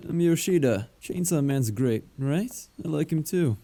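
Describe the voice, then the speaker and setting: Man as a mellow, creaky-voiced schoolboy. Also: on the high side, expressive, a little slow. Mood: laid-back. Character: laid-back, casual high school boy. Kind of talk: voice acting in a comic fan dub.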